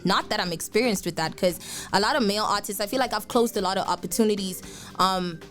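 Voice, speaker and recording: This is a young woman with a South African accent, talking openly about her work as an upcoming musician. Her voice sits in a middle register, and her manner is earnest and frank, full of "like". Faint music plays low behind her in the radio studio. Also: quick pace. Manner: earnest and outspoken, peppered with "like". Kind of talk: candid radio interview.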